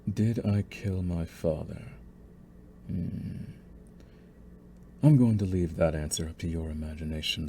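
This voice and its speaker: A low, deep male voice of an actor plays a young mafia boss in an audio roleplay. He is smug and possessive, taunting the listener with theatrical, condescending menace. Some faint noise sits behind the voice. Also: creaky, mellow. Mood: gloating, possessive. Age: young adult. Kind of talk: scripted ASMR audio roleplay.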